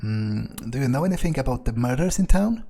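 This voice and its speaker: A man playing a video game in character, musing aloud in an understated, deadpan and slightly hesitant way. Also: mid-range voice, brisk, creaky. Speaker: gamer playing a vampire in character.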